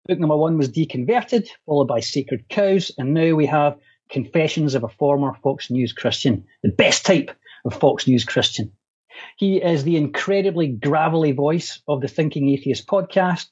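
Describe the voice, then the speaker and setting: A man's voice: fairly high pitch, moderate pace, breathy edge. A man hosting an online talk, introducing a guest with wry jokes. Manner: wry, jokey. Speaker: host. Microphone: video call. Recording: dull lo-fi sound.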